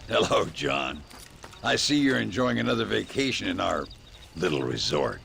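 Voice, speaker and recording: A weathered old gunslinger for a western game. The voice is low and full of gravel, swinging widely as he spins wry, world-weary tales, with music underneath. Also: very animated, moderate pace, a bit bright, a little hiss or hum, heavily acted. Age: older. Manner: wry, drawling storytelling. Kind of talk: western video game acting.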